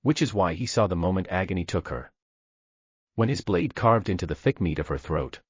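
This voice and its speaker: A man's voice: deep voice, animated, a little fast, slightly rough, no room sound. A man giving grave, dramatic narration of a science fiction story. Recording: dull dry lo-fi recording.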